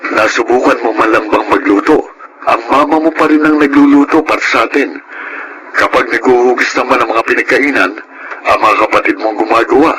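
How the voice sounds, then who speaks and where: An actor playing an earnest, exasperated Filipino husband of thirty in a radio drama. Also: male voice, medium pitch, fairly quick, noisy background, close to an everyday voice. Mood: exasperated, scolding. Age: 30s. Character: frustrated thirty-year-old husband wanting independence from in-laws.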